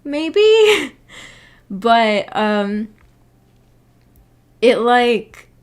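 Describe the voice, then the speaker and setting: A woman's voice: on the high side, big pitch swings, very slow. A woman hosting a livestream in a casual, rambling way, hesitant as she thinks aloud. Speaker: livestream host.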